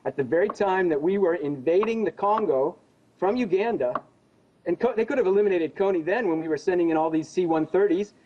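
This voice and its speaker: A man's voice: high-pitched, a little fast. An American man giving opinionated commentary as a news interview guest, speaking in an emphatic, accusatory and insistent way. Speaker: interview guest, genocide investigator. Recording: dull lo-fi audio.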